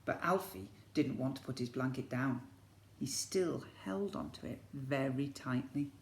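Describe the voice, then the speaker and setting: A man's voice: mid-range voice, lively intonation, a little slow, vocal fry, slight background noise. A man, a teacher reading a children's story expressively and breaking off to ask his young listeners questions. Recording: echoey room.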